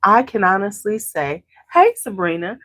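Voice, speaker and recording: A woman's voice: mid-range voice, very animated, moderate pace, a bit bright, no echo. A congested woman hosting a beauty channel, chatting casually with viewers at home and greeting them by name. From Chicago area. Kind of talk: casual chat to a live audience.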